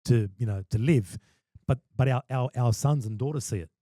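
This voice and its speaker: A man talks about his work in an emphatic, passionate way, stumbling over repeated words before each point lands. His voice is mellow and dark, and it is recorded close and dry with almost no room sound. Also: medium pitch, a little fast, no room sound. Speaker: podcast guest, wedding MC. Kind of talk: casual podcast chat.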